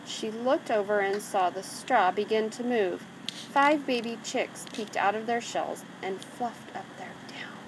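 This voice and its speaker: A woman reading a children's story aloud in an expressive narrating style. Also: mid-range voice, unhurried. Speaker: storybook reader. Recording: clearly noisy background.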